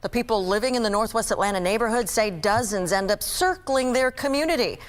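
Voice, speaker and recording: Female anchor, pitched a little high, reading scripted news introductions in broadcast style. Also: animated, a little fast.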